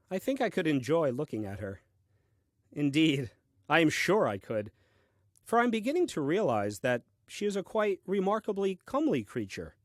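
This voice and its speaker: An actor playing a bemused, earnest first man reading his diary in a comic audio play, deliberate and puzzled. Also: fairly high pitch, no room sound, studio quality. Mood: admiring. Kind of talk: audio play diary monologue, dry comedy.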